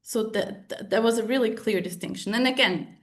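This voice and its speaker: A woman's voice: on the high side, brisk. Woman presenting research. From Czech Republic. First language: Czech. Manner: hesitant, many fillers and restarts. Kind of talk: academic presentation with Q&A.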